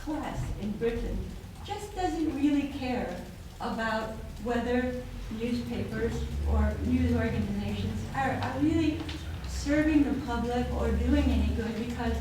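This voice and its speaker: An American woman speaks from the floor of a seminar room, earnest and emphatic in her question. Her voice sits slightly high. She is far from the mic, the room is very echoey, and a clear noise runs under her. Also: a little slow, hardly any pauses. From United States. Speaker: audience member. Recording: distant, very echoey, noisy room.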